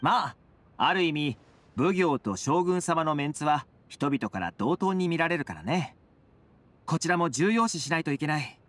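An actor voicing a friendly, loyal young retainer in an anime-style video game, easygoing yet respectful. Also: male voice, fairly high pitch, animated, moderate pace, a bit bright. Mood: friendly, amused.

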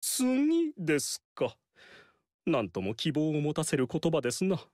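A self-satisfied strategist who boasts of his own schemes. His pitch leaps and dips dramatically while he speaks in a slow, savoring way. The recording is close and dry. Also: wildly swooping pitch, dry recording. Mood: proud. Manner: boastful, theatrical, swings loud and soft. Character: theatrical vain male strategist, boastful schemer. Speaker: game character voice. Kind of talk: Japanese game dialogue acting. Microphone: dry studio mic.

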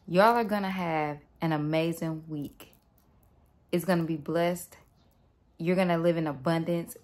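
A woman's voice: low voice, steady tone, a little slow, a little mellow. A young woman vlogging to her phone camera at home, rambling casually and speaking upbeat blessings over her viewers. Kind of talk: casual vlog chat to camera. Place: home room, indoors.

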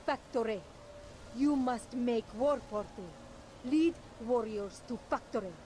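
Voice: mid-range voice, measured pace, darkish tone, slight background noise, lightly acted. A performer voicing a proud, bitter alien warrior who speaks in stern, formal, broken phrases.

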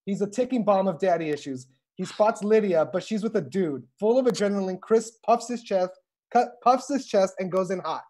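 A woman narrates a script's stage directions at a table read. Her voice sits at a middle pitch, and her delivery is informal and a little wry. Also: moderate pace, darkish tone.

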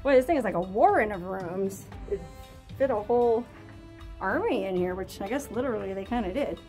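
A young woman vlogging as she explores a narrow cave, chatty and full of excited exclamations, recorded on a handheld camera. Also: mid-range voice, brisk, soft background music. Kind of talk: casual vlog narration.

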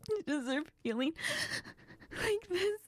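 A woman playing a heartbroken young woman crying to her best friend in an intimate audio role-play, halting and apologetic, often slipping into whispers. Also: very high voice, expressive, no echo, full character voice. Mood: sobbing, heartbroken. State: crying, tearful, sniffling.

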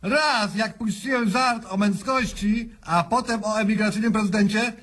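A man's voice: sits high, normal speed, a bit bright. A man playing a smug, jocular presidential candidate in a radio comedy sketch, pompous and mangling his grammar. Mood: boastful. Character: smug, cheerful male presidential candidate, crude, self-satisfied patient. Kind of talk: satirical radio sketch acting.

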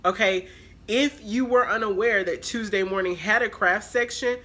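A woman in her thirties tells a casual storytime to her viewers, chatty and animated. Her voice is bright and forceful. She sits close to the camera in a small room at home. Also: medium pitch, normal speed. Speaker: vlogger. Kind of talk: casual storytime haul vlog. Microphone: camera close in front, talking to it.

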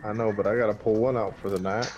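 A man's voice: fairly low pitch, fairly even intonation, fast, dull audio. A man in casual gaming chat, slangy and teasing, swearing freely. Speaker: gamer in a squad voice chat. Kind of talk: casual game chat and banter. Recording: noisy game voice chat.

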